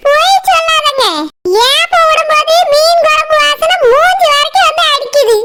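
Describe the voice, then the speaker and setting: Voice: very high-pitched, normal speed, bright, forceful tone. A performer voicing a nosy, teasing village woman in a cartoon comedy, pestering insistently in Kanyakumari Tamil.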